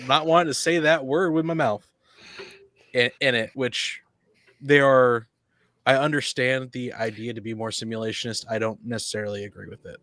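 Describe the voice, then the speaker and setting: A man's voice: mid-range voice, fairly bright tone. A man chatting casually about his gaming experiences, rambling and often interrupting himself. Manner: rambling, halting, self-interrupting. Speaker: panel guest on a tabletop gaming podcast. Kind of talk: casual chat, personal anecdotes.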